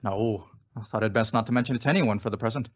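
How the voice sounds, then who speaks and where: A man playing a bold, inquisitive gentleman detective in an old-style radio mystery, theatrical and self-assured. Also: on the low side, fast, natural voice. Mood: curious, composed. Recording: dull lo-fi radio play recording.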